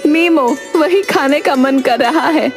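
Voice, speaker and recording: A woman's voice: high-pitched, slow pace, voice pushed up. A woman voicing a weak, grateful village mother with a homely rustic manner in a cartoon story. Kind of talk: cartoon moral story acting. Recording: music behind the voice.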